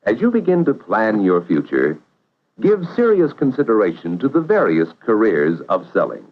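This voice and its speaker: A man narrating a 1950s educational film in a measured, instructive way, posing questions to the viewer. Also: fairly low pitch, huge pitch swings. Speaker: narrator of vocational training film. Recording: dull vintage 1950s film soundtrack.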